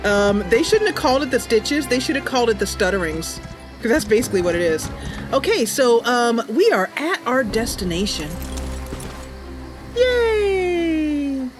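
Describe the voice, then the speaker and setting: A woman streamer with a fairly high voice chats casually over a game. She speaks slowly and enthusiastically, with clear game music behind her. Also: sing-song. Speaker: game streamer and channel host. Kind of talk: casual livestream gameplay commentary. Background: game music and sounds.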